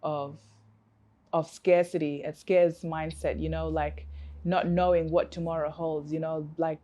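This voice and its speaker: A woman's voice: low-pitched, little pitch movement, measured pace, mellow. A woman from Africa, a coach, answering interview questions in an earnest, reflective way with many fillers and restarts.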